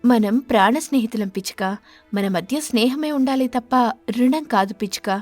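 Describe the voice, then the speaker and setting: Woman as a gentle high-voiced pigeon wife. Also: moderate pace, faint music behind, lightly acted. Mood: warm. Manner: kind, conciliatory.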